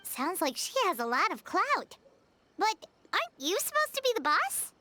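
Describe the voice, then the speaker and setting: A woman voicing a tiny, childlike game companion creature, squeaky, chirpy and inquisitive, with blunt remarks. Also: sing-song, normal speed, bright, forceful tone, slight background noise, exaggerated voice. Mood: curious. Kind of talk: video game voice acting. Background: music.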